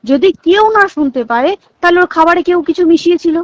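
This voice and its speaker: A woman's voice: very high voice, lively intonation, brisk. An Indian Bengali woman recounting things emphatically in a private phone call, repeating points and checking that the listener follows. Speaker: hospital staff member. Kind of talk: private phone conversation, recounting insider details. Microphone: phone call recording. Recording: dull lo-fi recorded phone call.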